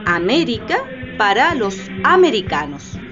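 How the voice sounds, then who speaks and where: A woman's voice: a little high, big pitch swings, unhurried. A woman narrating a scripted radio theatre history piece. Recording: dull audio under loud music.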